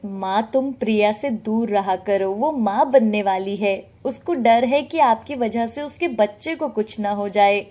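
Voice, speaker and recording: Casting: henpecked, dismissive son for a cartoon family tale, with a mellow delivery woman performer. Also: mid-range voice, a little slow, lightly acted. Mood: curt. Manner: curt, dismissive. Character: cold, henpecked adult son turning on his mother.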